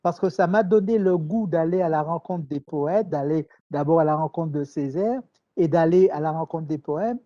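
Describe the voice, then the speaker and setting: A man from Martinique, answering interview questions in a measured, thoughtful way with hesitations and repeated words. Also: on the high side, dark tone. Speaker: writer, interview guest. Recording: dull lo-fi sound.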